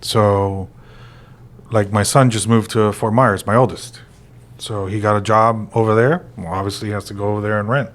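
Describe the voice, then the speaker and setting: A man's voice: fairly low pitch, normal speed. A man in his forties, co-hosting a real estate chat show, talking casually with lots of fillers. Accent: American. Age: 40s. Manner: relaxed, rambling with many fillers. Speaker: real estate podcast co-host.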